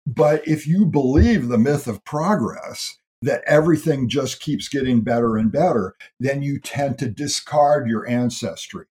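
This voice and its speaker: A man's voice: mid-range voice, measured pace, few pauses, darkish tone. A man speaking as an interview guest, explaining big ideas in a thoughtful, earnest way with small restarts. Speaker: guest speaker on history and ancestry. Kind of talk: interview conversation.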